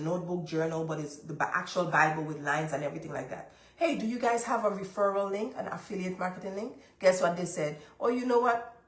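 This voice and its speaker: A congested woman hosting a live session who gives motivational money advice. She speaks emphatically, repeats her key points and talks straight to her viewers. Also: fairly low pitch. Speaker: livestream host. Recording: roomy, echoey room sound.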